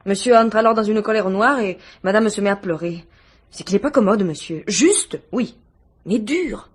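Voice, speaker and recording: A woman's voice: medium pitch, moderate pace, husky, natural voice. An actress playing a nervous, gossipy housemaid in her thirties in a French radio mystery, hesitant and confiding. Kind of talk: French radio play acting.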